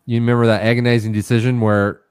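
A man's voice: medium pitch, little pitch movement, fast talker, a little mellow, smooth. A middle-aged man co-hosts a laid-back podcast and teases himself with wry humor. He sounds close and dry, with no room echo. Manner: wry, self-mocking banter. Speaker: podcast co-host. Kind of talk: casual chat.

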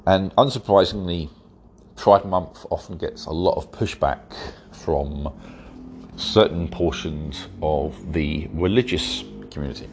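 A man hosting his own podcast, congested and stuffy-nosed from hay fever, talking in a wry, measured way and a bit slowly. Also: low voice, darkish tone, slight background noise. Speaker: podcast host. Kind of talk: solo podcast commentary.

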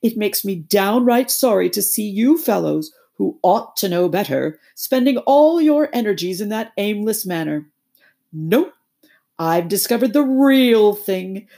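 A woman voicing a boastful, excitable male toad who gushes and wheedles in a storybook reading. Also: medium pitch, creaky, pitched above the performer's own voice. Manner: gushing, exclamatory, wheedling.

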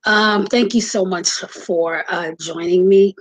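Soft-spoken woman in her forties, halting. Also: on the low side, steady tone, no echo. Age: 40s. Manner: earnest, halting with many ums. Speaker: podcast host. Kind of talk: personal storytelling. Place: home, just moved in.

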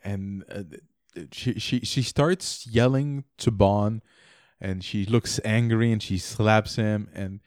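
A man's voice: on the low side, steady tone, takes it slowly, a little mellow, dry recording, clean studio sound. A man from French Canada, a native French speaker, co-hosting a casual book discussion with stammering restarts. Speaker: podcast co-host.

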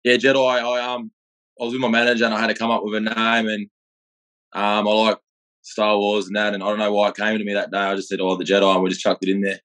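A man's voice: fairly low pitch, level tone, fast, a bit bright, poor audio. An Australian man in his thirties, a guest telling his life story in a plain-spoken, rambling way with restarts and the odd swear word. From Australia (Perth). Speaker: guest, gym owner and boxer. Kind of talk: casual storytelling in an interview.

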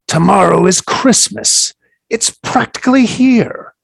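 A man voicing a grumpy, scheming creature in a storybook reading, with a sneering, snarling delivery and a raspy, gravelly voice. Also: high-pitched, takes it slowly, no room sound, voice pushed up, heavily acted.

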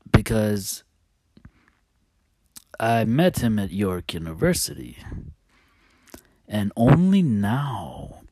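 A man talking casually as a host, telling personal stories in a halting, hesitant, confiding way. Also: on the low side, darkish tone, dry recording.

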